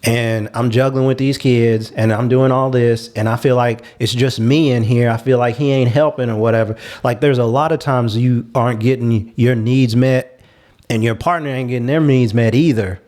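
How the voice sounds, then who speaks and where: A man's voice: mid-range voice, steady tone, moderate pace, a little mellow. Earnest man explaining his view. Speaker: panelist on a talk show. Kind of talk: casual group discussion.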